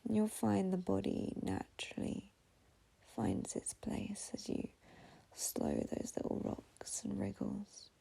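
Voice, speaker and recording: Breathy, half-whispering woman guiding meditation. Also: medium pitch, sing-song, poor audio. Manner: slow, soothing, gentle, with long pauses. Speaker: meditation guide. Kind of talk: guided meditation.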